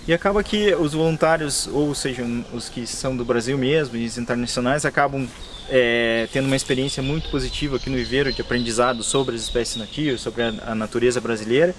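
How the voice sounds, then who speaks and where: A man's voice: mid-range voice, fast talker, noisy background. A Brazilian man, a worker at a plant nursery, explaining the work to an interviewer on site with many fillers and restarts. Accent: Brazilian Portuguese. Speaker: nursery worker at a conservation NGO. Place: plant nursery and lab on a rural property.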